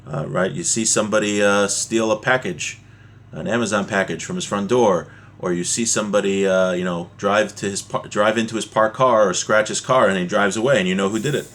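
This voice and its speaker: A man's voice: fairly low pitch, steady tone, fairly quick, fairly bright tone. A man giving an informal religious lesson as a teacher, explaining a text with everyday examples.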